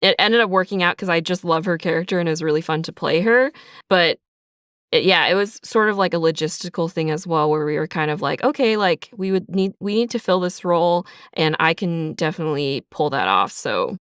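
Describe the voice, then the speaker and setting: Chatty woman writer, lowish clear voice, dry sound, explaining stuff casually like an interview. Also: brisk, a bit bright, dry recording. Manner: talkative, thinking aloud, acts out characters' thoughts in asides. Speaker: writer and creator of an audio drama, also voice actor. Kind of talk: casual behind-the-scenes interview.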